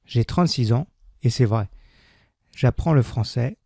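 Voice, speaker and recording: A man with a dark, gravelly voice reads a beginner French text at a measured pace. He repeats each sentence with careful diction. The recording is dry and close, with no room sound. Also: mid-range voice, no room sound. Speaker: French language teacher. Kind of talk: slow scripted reading for learners.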